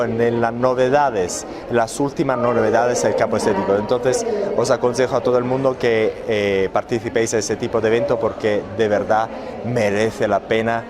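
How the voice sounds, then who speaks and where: A man's voice: mid-range voice, echoey room, heavy background noise. A man born in Italy, speaking as the founder of an aesthetics business, hesitant with many fillers and restarts. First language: Italian. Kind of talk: promotional talk and interview.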